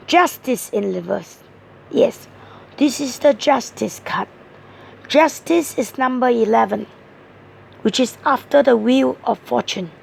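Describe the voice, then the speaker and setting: A hoarse woman giving a tarot card reading, explaining each card point by point in an earnest way. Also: on the high side, takes it slowly, pauses often, a little hiss or hum. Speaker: tarot reader.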